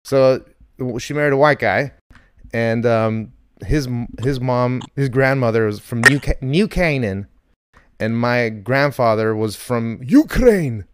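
Male comedy podcast host, mid-pitched, clean resonant voice, casual joking chat. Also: steady tone, unhurried, fairly bright tone, smooth.

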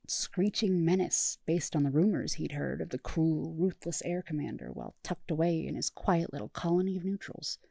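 Woman narrator, low and gravelly, calm storyteller, dry close mic. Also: dry recording. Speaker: podfic reader. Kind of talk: audiobook narration.

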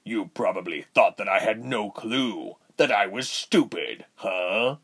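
A man's voice: on the low side, expressive, measured pace, a bit bright, heavily acted. A man voicing a cruel, arrogant general in a fantasy storybook, with a menacing, commanding manner. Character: cruel, arrogant male general, wicked villain.

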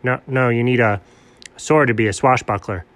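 A man's voice: medium pitch, normal speed, a bit bright, natural voice. A man voicing an exasperated, argumentative pet dog in comic dialogue, with a matter-of-fact, contradicting manner.

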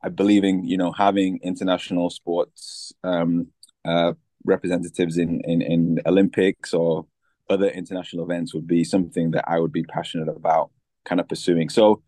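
A man's voice: low voice, little pitch movement, normal speed, warm tone, dry recording. A man, a guest in a casual interview who talks easily with many fillers and restarts. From Tanzania.

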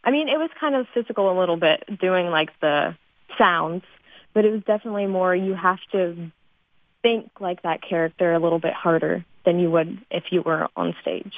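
A woman's voice: fairly low pitch, moderate pace, dry recording. A teenage young woman, a theater student, answering casually as a guest in a radio interview. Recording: dry, narrow phone-like line.

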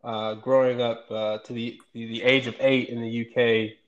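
A man's voice: medium pitch, flat delivery, normal speed. A man interviewing a guest, asking long, rambling questions full of uhs. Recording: dull, slightly noisy recording.

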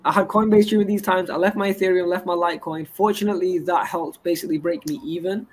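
A man's voice: high voice, steady tone, fast, slight background noise. A man, a guest rambling through his business credentials in a casual interview. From England. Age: 20s. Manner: boastful and rambling, stacks up credentials. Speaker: guest entrepreneur.

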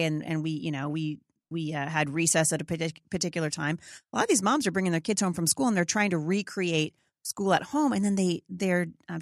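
A woman's voice: lowish voice, no echo, clean studio sound. A middle-aged American woman hosting a casual interview, informal and emphatic, often checking in with the listener. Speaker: podcast host, homeschool mom.

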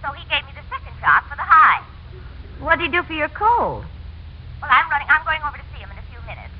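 A woman's voice: high-pitched, sing-song, quick pace. An actress playing a genteel, chatty woman in an old-time radio family sitcom. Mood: cheerful, chatty. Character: genteel, animated woman in domestic family comedy. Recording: muffled, faintly noisy old radio recording.

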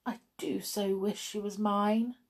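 A woman's voice: mid-range voice, fairly even intonation, takes it slowly. A woman voicing a kindly, lonely storybook spinster, fussy and protective, speaking with emphatic emotion. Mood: sad, confessing.

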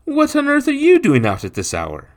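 A man voicing a kindly, concerned gentleman in an audiobook, genteel and sympathetic in manner. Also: mid-range voice, huge pitch swings, moderate pace, pitched above the performer's own voice. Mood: surprised. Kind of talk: audiobook character voice.

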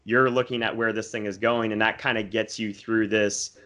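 Low-voiced man summing up as interviewer. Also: flat delivery, moderate pace, sharp tone. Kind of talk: casual interview.

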